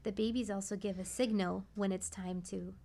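A woman's voice: medium pitch, steady tone, normal speed, vocal fry, warm tone. A woman speaking as a guest massage therapist in a casual talk show interview, explaining anatomy in plain, informal words.

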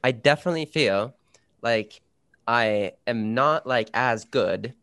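A man's voice: medium pitch, slow pace, fairly bright tone, smooth, no room sound, studio quality. Man rambling in casual chat. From Sweden. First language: Swedish. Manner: rambling, lots of "like" and restarts.

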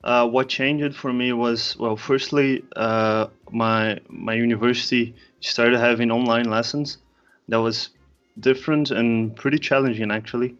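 Young Brazilian guest, relaxed and wry, muffled call audio. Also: male voice, mid-range voice, a little slow. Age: young adult. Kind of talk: casual interview answers.

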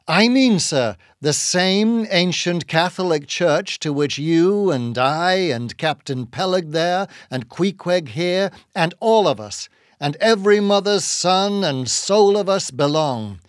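A man's voice: sits high, animated, takes it slowly, no echo, clean studio sound, voice pushed up. A man voicing an earnest young sailor who is defending his friend in an audiobook reading. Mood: earnest, rising.

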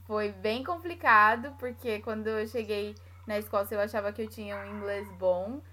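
A woman's voice: mid-range voice, moderate pace, pushed and bright, slight background noise. A woman from São Paulo, speaking Portuguese with a paulista accent, casually telling her own story in conversation. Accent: São Paulo Brazilian Portuguese. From São Paulo, Brazil.

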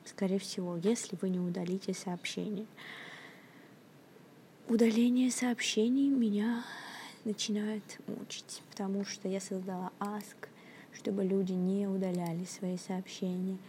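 A woman's voice: medium pitch, steady tone, unhurried, dark tone, slight background noise. A young woman hosting her own podcast, rambling hesitantly with many fillers and restarts, often half-whispering into her phone at work. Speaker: podcast host. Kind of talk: casual rambling monologue. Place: at her workplace, indoors.

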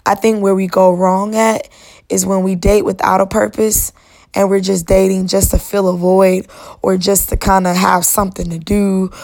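A young woman hosting a solo podcast, her voice raspy and worn, talking in a casual, chatty, encouraging way. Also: mid-range voice, a little mellow. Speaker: podcast host.

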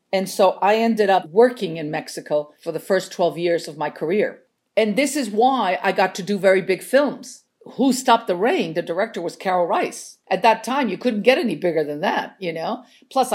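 A woman's voice: fairly low pitch, darkish tone, husky. An older woman from Chile, a native Spanish speaker, telling anecdotes casually as an interview guest. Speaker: interview guest, veteran script supervisor.

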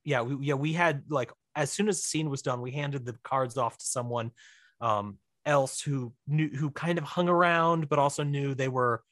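Guy on a podcast telling production stories, chatty n animated, midrange voice, no room echo. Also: mid-range voice, moderate pace, studio quality. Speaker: interview guest, filmmaker. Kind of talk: casual chat, telling behind-the-scenes stories.

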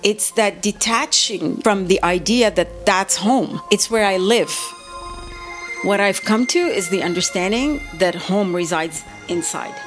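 A woman's voice: mid-range voice, measured pace, soft background music. A middle-aged woman from Lebanon, a professor and artist, speaking reflectively as an interview guest and often repeating words as she searches for phrasing.

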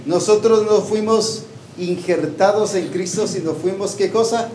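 High-pitched guy preaching, keeps asking the crowd questions, echoey church hall, bit of hiss. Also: unhurried, mellow. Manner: asks the congregation questions and waits for answers. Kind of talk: sermon. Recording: echoey hall with faint background noise.

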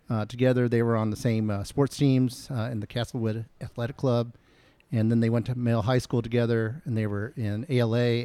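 A man's voice: mid-range voice, dark tone, clear, resonant tone, no echo. Middle-aged guy from kentucky rambling through a story, lots of uhs, dry mic. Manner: halting, many fillers and repeats. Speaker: guest. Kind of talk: casual storytelling.

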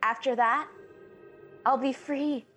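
A woman's voice: sits high, steady tone, slow, a bit bright, faint music behind. A woman playing a bitter, scheming housewife who hosts a cooking show in a comedy radio play, mock-cheerful and sardonic. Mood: vengeful, scheming.

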